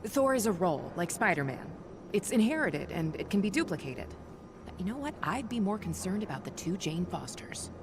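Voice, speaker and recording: A woman playing a calm, kind, wry superhero doctor in a video game, gentle and teasing in natural dialogue. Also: mid-range voice, brisk, noisy background. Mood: matter-of-fact.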